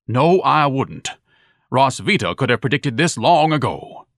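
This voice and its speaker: A man's voice: medium pitch, big pitch swings, unhurried, no echo, clean studio sound, pitched above the performer's own voice. Man doing a pompous, bellowing eccentric. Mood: haughty. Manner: bombastic, grandiloquent, scolding. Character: pompous huge eccentric man, lofty and indignant.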